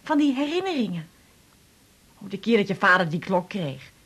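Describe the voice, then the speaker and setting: A woman's voice: mid-range voice, very animated, moderate pace, fairly bright tone, husky. An actress playing a hurt, chatty middle-aged mother confiding in a colloquial, rambling way, in a naturalistic radio drama. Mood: nostalgic. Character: hurt, chatty mother back from a failed holiday.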